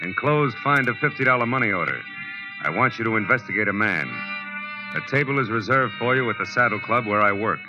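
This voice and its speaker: A man's voice: lowish voice, expressive, brisk, lightly acted. An actor playing a wry, hard-boiled American private detective, narrating his case in a terse, sardonic way in a 1940s radio drama. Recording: muffled old radio recording with loud music.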